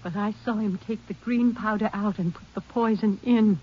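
An actress playing a frightened, distraught rancher's wife, pleading and suspicious, in an old-time radio western. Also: female voice, mid-range voice, moderate pace, close to an everyday voice. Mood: fearful accusing. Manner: overwrought, pleading delivery. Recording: muffled vintage radio recording.

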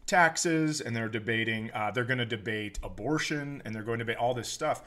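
A man's voice: mid-range voice, a little fast, bright, forceful tone. A man co-hosting a podcast in casual comedic banter, with a wry, teasing way of talking. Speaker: podcast co-host.

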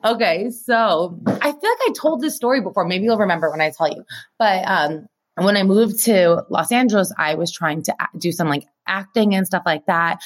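A woman co-hosting a podcast, chatting casually and telling stories in an animated, informal way with plenty of filler "like" and some swearing. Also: medium pitch. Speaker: podcast co-host. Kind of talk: casual chat and storytelling.

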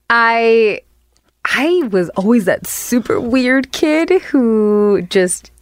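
A woman's voice: a little high, lively intonation, extremely slow, clear, resonant tone, no echo, clean studio sound. A young woman from Barbados, a guest chef chatting casually in an interview, laughing often and filling her talk with "like".

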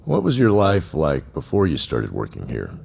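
An audio drama police officer with an extremely low, gravelly voice. His questions are formal and unyielding, yet the pitch moves with lively variation as he probes. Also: moderate pace, heavily acted. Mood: formal, probing. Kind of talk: audio drama interrogation dialogue.

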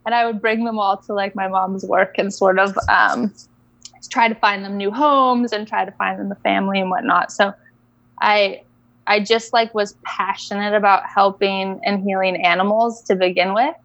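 A young woman from Indonesia, a guest coach telling her story in a casual interview, reflective and unhurried with soft laughs. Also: mid-range voice, fairly even intonation, dry recording, highs cut off.

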